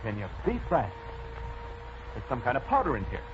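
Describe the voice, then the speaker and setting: An actor playing a confident amateur sleuth and masked hero in 1940s radio style. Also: male voice, medium pitch, huge pitch swings, normal speed. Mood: cautious. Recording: muffled, noisy old radio broadcast.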